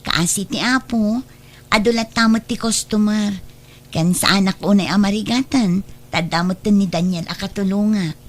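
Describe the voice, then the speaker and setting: A woman's voice: mid-range voice, normal speed, natural voice. A woman playing a trusting, devoted adult daughter in a radio drama, speaking earnestly and reassuringly.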